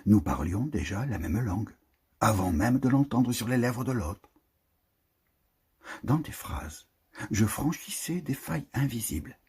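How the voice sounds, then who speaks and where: A man giving a literary reading of a prose poem in a solemn, declamatory manner with deliberate phrasing. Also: medium pitch, big pitch swings, a little mellow, breathy edge.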